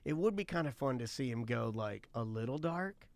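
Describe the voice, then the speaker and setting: A man's voice: medium pitch, lively intonation, normal speed, vocal fry, no echo. A man hosting a casual radio talk show, riffing in rambling explanations and acting out little asides.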